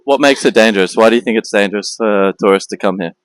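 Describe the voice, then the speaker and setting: A man's voice: lowish voice, fast, clear, resonant tone, dry recording. A man conducting street interviews, asking short questions with some hesitant fillers. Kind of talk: street vox-pop interview.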